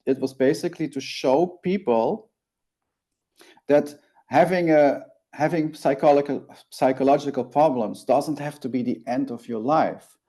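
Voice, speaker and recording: Belgian man, forties, native Dutch speaker, interview guest; earnest, halting, warm and mellow tone. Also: mid-range voice. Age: 40s. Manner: earnest, halting with frequent restarts. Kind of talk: interview, personal story.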